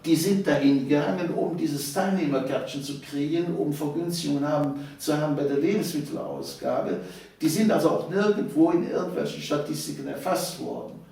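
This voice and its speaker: A man's voice: fairly high pitch, brisk, gravelly, mellow. Very reverberant, distant recording: male interview guest, seventies, emphatic conversational storyteller. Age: 70s. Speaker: interview guest, author of local history books. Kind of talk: conversational reminiscence. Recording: echoey room, distant microphone.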